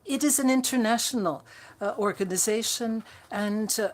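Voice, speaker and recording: A woman interpreting a conference speech live, halting often with fillers and restarts. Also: a little high. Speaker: simultaneous interpreter.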